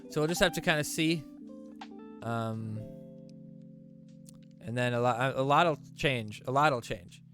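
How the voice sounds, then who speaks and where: A man's voice: medium pitch, unhurried, pauses often, music in the background. A man hosting a gaming live stream in casual commentary, sounding stuffy and rambling with lots of fillers and restarts. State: stuffy nose.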